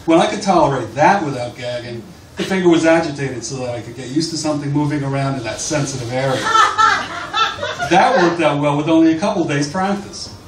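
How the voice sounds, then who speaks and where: A man's voice: fairly high pitch, a little fast. A man reading aloud from a book at a live literary event in a bar. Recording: distant, echoey, noisy live bar recording.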